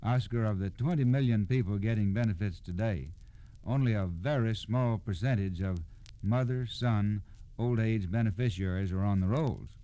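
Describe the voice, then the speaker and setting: A man's voice: on the low side, normal speed, mellow, lo-fi sound. A man reading scripted narration as a storyteller on 1960s radio.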